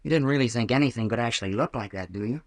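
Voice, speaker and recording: A man's voice: mid-range voice, racing, no echo, studio quality, lightly acted. An actor playing an anxious, earnest college boy in an old-time radio thriller. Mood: puzzled, probing. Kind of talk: 1940s radio acting.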